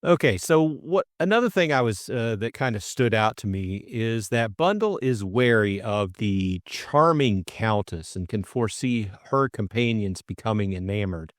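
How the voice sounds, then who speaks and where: A man's voice: lowish voice, lively intonation, normal speed, hardly any pauses, no room sound, studio quality. A middle-aged man co-hosting an audiobook, narrating and chatting informally about the book in a hesitant way. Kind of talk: narration and casual book discussion.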